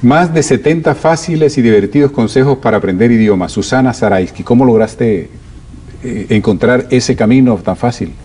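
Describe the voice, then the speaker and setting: Male TV host, mid-pitched and mellow, guides the talk with questions and recaps. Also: moderate pace, slight background noise. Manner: leading questions and summaries. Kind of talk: TV interview.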